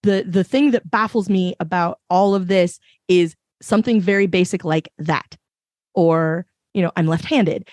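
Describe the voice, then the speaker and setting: A woman with a fairly low voice, still rough from recovering after being sick, making a passionate, emphatic point on a panel discussion over a video call. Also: a little mellow, no room sound, studio quality. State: recovering from illness, voice a bit rough. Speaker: podcast panelist.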